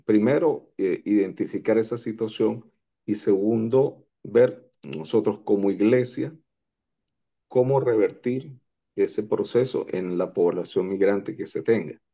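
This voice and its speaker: Slow, gravelly man, halting speech. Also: lowish voice, dark tone. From Colombia, raised in Venezuela. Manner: hesitant, many restarts. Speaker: conference participant. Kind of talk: slow, halting reflective remarks.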